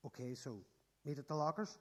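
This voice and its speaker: A woman's voice: low-pitched, fairly quick, darkish tone. A woman acting in a radio play as an easygoing teenage schoolboy who banters and hesitates as he pesters a classmate. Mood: bantering.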